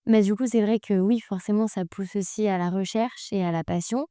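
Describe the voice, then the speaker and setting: A woman's voice: medium pitch, moderate pace, warm tone, dry recording, studio quality. A young woman hosting a casual podcast, asking questions in a friendly way with some fillers. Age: young adult. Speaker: host.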